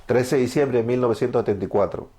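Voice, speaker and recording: Man, Salvadoran accent, evangelical preacher, fervent, asks and answers himself, mid pitch. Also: fast, dark tone. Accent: Salvadoran Spanish. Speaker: preacher giving testimony. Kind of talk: evangelical testimony sermon.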